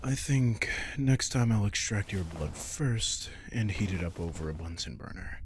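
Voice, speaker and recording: A man playing an arrogant, teasing, centuries-old vampire king in an intimate audio roleplay, casual and sardonic, with a low, gravelly voice. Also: lively intonation, a little slow, a little hiss or hum. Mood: casual, satisfied. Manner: casual, sardonic, swearing, coaxing his prey.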